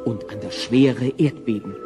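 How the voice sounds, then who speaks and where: A man's voice: medium pitch, measured pace. A man narrating a children's audio drama in a scripted storytelling style. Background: music.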